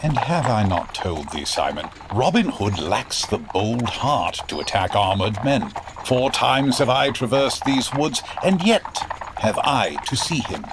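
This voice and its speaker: Villainous sheriff, pompous and imperious, lively intonation, audio-drama comedy, noisy horse-filled backdrop. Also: male voice, medium pitch, measured pace. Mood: scornful. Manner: pompous, mock-archaic commanding speech. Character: haughty villainous Sheriff of Nottingham, commanding and scornful.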